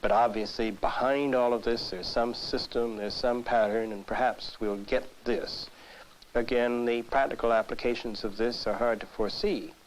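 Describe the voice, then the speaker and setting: A man giving a thoughtful, deliberate interview answer as a scientist, pausing and hesitating as he explains. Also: mid-range voice, fairly even intonation. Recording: faintly noisy 1960s film soundtrack.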